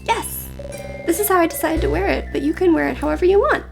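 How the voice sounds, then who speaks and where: A woman voicing a gentle, cheerful young housemaiden in a video game, explaining things kindly and earnestly. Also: very high-pitched, quick pace, gravelly, music in the background, exaggerated voice. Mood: bright, encouraging.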